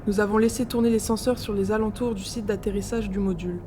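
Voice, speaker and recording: A woman's voice: medium pitch, steady tone, fast, warm tone, a little hiss or hum, lightly acted. A woman playing a solemn, grieving ship's doctor recording a formal log in an audio drama. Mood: solemn grief.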